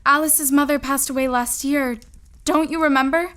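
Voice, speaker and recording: An actress playing a brisk, bossy and anxious daughter who manages a genteel household in a period radio drama. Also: female voice, high-pitched, steady tone, a bit bright.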